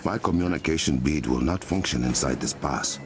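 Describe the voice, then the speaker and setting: Very deep, regal African warrior king. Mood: calm, reflective. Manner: measured, formal, commanding, dryly wry. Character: regal male warrior king, masked hero protecting his land. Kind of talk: video game dramatic voice acting. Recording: loud game music behind.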